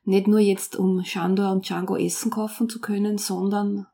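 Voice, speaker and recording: A woman's voice: mid-range voice, little pitch movement, normal speed, dark tone. A woman who runs the interview, asking questions in an encouraging, upbeat way. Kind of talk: casual interview.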